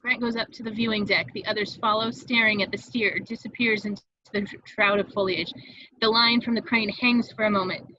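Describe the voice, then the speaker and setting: A woman's voice: medium pitch, steady tone, fairly quick, sharp tone, slight background noise. A woman reading stage directions as the narrator of a script table read, in a slightly dull, dry home recording.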